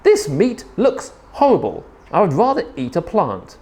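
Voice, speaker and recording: Man as a plaintive, high-voiced young dinosaur. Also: huge pitch swings, unhurried, mellow, exaggerated voice. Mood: apologetic. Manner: plaintive, earnest. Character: timid, gentle, plant-eating young male dinosaur. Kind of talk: children's storybook character voice.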